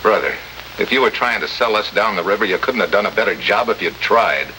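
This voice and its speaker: An actor playing a tough, sardonic American special agent in a 1950s radio drama, with a wry, clipped delivery. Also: male voice, on the low side, fairly quick, pushed and bright. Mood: incredulous, stern. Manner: hard-boiled, wry, clipped. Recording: hissy, noisy old radio recording.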